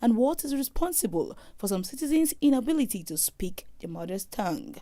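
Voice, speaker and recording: A woman narrating a scripted news report in a measured, formal way. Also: on the high side, sing-song, mellow, no room sound. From Nigeria.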